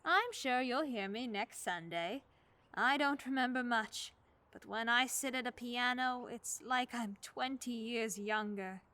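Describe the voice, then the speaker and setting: A woman playing an elderly widowed neighbor in her seventies in a radio play, speaking gently and plainly with a wry edge. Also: on the high side, measured pace. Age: 70s. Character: kindly widowed old woman neighbor, churchgoing.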